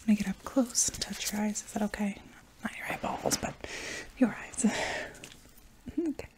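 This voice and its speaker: A young adult woman in a whispered ASMR medical roleplay, breathy and soft, giving reassuring step-by-step instructions up close. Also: medium pitch, moderate pace. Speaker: ASMR roleplayer playing an anesthesiologist.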